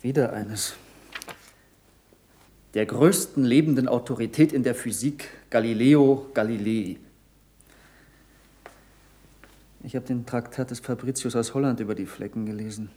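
An actor playing an eager, persistent young pupil of a scientist in a German radio play, earnest and precise. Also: male voice, medium pitch, moderate pace, mellow, close to an everyday voice.

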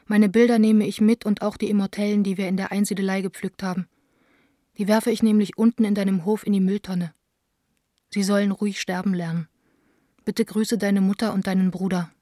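A young woman theatre director played by an actress speaks her private letters in a soft, mellow voice, close and dry. She is wounded and self-blaming, confiding as in an intimate radio play. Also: medium pitch, no room sound, close to an everyday voice. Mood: bitter, resigned. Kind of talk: radio drama, letter read aloud.

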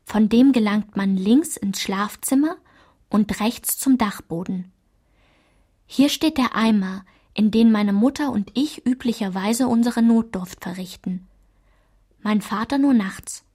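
A woman playing a daughter soberly recounting her childhood in a radio play, measured and restrained, with a breathy, husky voice. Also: mid-range voice, dark tone, lightly acted. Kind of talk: literary radio play narration.

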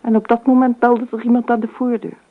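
An actress playing a dreamy, imaginative, talkative wife in a radio drama, with expressive theatrical delivery. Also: female voice, a little high, brisk, close to an everyday voice. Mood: recounting, indignant. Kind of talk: radio drama monologue and dialogue. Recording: tinny, narrow old radio recording.

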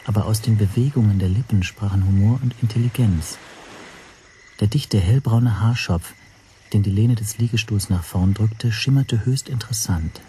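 An actor playing an observant language teacher who narrates his story in a measured, dryly descriptive way for a radio drama. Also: lowish voice, mellow, a little hiss or hum, close to an everyday voice.

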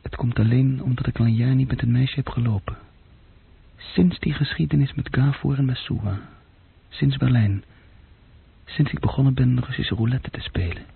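An actor playing a young man's self-critical, brooding inner voice in a radio drama, with a gravelly tone. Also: medium pitch, moderate pace. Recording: muffled narrow-band radio play recording.

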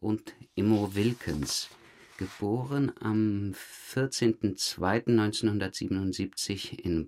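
A calm police inspector in a crime drama. His voice is low and he speaks slowly, with polite, procedural courtesy. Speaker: interrogating police detective. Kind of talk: naturalistic crime radio drama dialogue.

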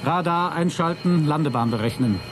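An actor playing a cocky, wisecracking astronaut pilot in a German radio play, with a breezy, excitable manner. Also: male voice, normal speed, close to an everyday voice. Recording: noisy rumbling vehicle ambience.

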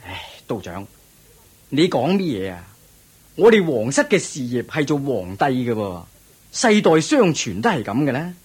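A man playing a proud, hearty king in a radio drama, exclamatory and expressive. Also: medium pitch, measured pace, breathy edge. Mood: shocked, displeased. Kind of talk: Cantonese radio drama acting.

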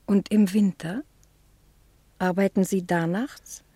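A sheltered, naive spinster niece in a 1960s radio drama. Her mellow voice is breathy and veiled, recorded dry. She sounds shy, hesitant and a little wistful. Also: mid-range voice, steady tone, slow, no room sound. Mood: naive, confiding. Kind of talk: 1960 German radio play acting.

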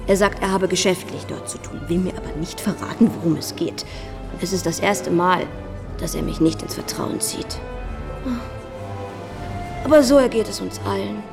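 A knowing young society lady in a radio drama. Her voice is rather low with marked creak, coaxing and probing, over clearly audible music. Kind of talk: German radio play acting.

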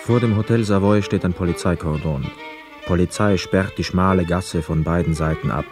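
An actor playing a grave first-person narrator in a literary radio drama, telling it in a measured, sombre way. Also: male voice, low voice, over music, natural voice. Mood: grave, urgent. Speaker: narrator and main character.

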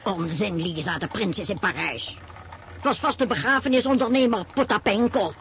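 A woman's voice: mid-range voice, normal speed, a bit bright, slight background noise, natural voice. A woman playing a querulous, demanding old countess in a radio drama, stammering as she nags. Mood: irritated. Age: older. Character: old countess, imperious, nagging, bombarding her companion with questions.

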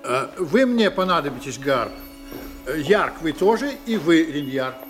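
A man's voice: on the high side, big pitch swings, measured pace, faint music behind. An actor playing a stern, weary male starship commander who gives curt, clipped orders in a radio drama. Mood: commanding.

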